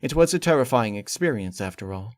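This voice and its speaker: A man reading a horror story as a dramatic narrator, with measured, formal and deliberate phrasing. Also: medium pitch, lively intonation, dry recording. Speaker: narrator of a horror fan fiction.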